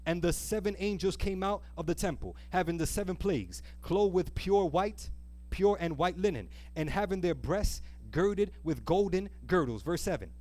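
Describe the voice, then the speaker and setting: Higher-voiced man preaching an earnest sermon. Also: animated, normal speed, darkish tone. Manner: earnest, rhetorical, stresses and stretches key phrases. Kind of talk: sermon with scripture reading and call-and-response questions. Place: live church service.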